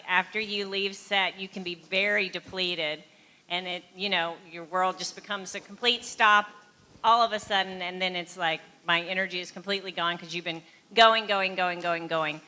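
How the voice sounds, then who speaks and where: A woman's voice: medium pitch, sharp tone. A woman on a filmmaker panel speaking in an upbeat way and giving encouraging advice. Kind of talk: casual panel talk.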